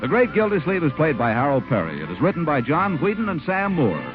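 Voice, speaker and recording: A man's voice: on the high side, wildly swooping pitch, fast talker. A man reading scripted announcements as a 1940s radio announcer, with polished, emphatic salesmanship. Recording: muffled 1940s radio broadcast recording. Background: music.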